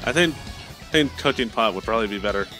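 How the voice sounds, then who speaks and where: A man's voice: lowish voice, expressive, quick pace, music in the background. A man chatting casually as a game streamer, teasing and swearing freely. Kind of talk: casual chat while livestreaming a game. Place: live game stream, music behind.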